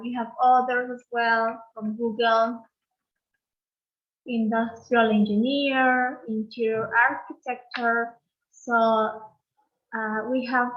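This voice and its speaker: A woman's voice: on the high side, lo-fi sound. A woman teacher from Peru with a Peruvian Spanish accent, leading an online English lesson and patiently repeating phrases for learners to copy.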